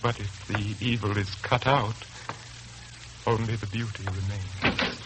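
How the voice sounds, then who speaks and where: Menacing male lodger played by an actor, deep, formal, obsessed; vintage radio thriller with clear background noise. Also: steady tone, lightly acted. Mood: menacing, fervent. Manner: slow, intense, ominous, formal. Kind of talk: 1940s radio thriller acting. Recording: noisy vintage 1940s radio recording.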